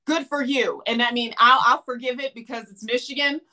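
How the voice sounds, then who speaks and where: Bright, animated woman hosting a live show. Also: on the high side, fairly quick, low-quality recording. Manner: animated, gestures a lot. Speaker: host. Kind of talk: live video show chat. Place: home room with plant and mannequin.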